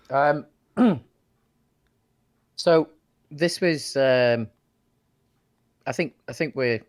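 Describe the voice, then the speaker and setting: A man's voice: a little high, expressive, drawn-out words, pauses often. A man co-hosting a casual chat about films, agreeable and a little tentative, often putting in short interjections. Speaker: podcast co-host.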